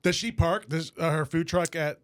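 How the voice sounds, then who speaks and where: A man's voice: fairly high pitch, moderate pace, fairly bright tone. A man hosting a podcast interview, friendly and enthusiastic, with many fillers and restarts. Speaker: podcast host. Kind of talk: casual interview.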